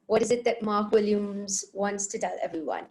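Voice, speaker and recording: The woman you'd hear running an online chat show, medium-pitched, chatting to viewers and agreeing readily with her guest. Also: steady tone, moderate pace, a little mellow, low-quality recording. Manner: affirming, chatty. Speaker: interview show host. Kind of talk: conversational online interview.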